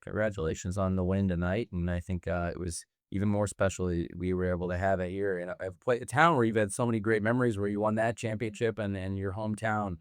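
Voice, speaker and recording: A man's voice: low voice, steady tone, fast, few pauses, smooth, dry recording, studio quality. A man putting formal, congratulatory questions to a wrestler at a press conference. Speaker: company interviewer.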